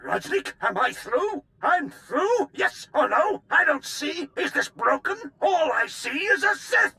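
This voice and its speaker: An actor playing an unhinged, incoherent old military officer in a video game, ranting with paranoia and erratic bursts of shouting. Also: male voice, very high-pitched, sing-song, a little slow, exaggerated voice. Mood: confused, suspicious. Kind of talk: theatrical video game voice acting.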